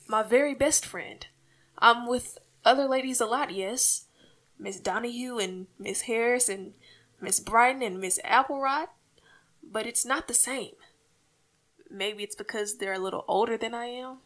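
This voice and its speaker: A woman's voice: on the high side, unhurried, a bit bright. A woman playing an earnest, peacemaking housewife and mother in an old-time radio comedy, folksy, plaintive and coaxing. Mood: wistful, confiding.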